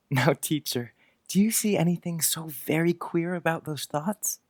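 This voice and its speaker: A man's voice: fairly high pitch, measured pace, no room sound, clean studio sound. A man voicing a dreamy, imaginative little boy with a grave, wondering and precise manner, in an audiobook drama.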